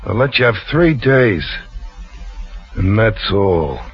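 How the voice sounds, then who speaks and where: An actor playing a menacing, mock-reasonable loan shark in an old-time radio drama, slow and sardonic. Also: male voice, on the low side, big pitch swings, over loud music. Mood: coolly threatening. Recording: muffled vintage radio recording.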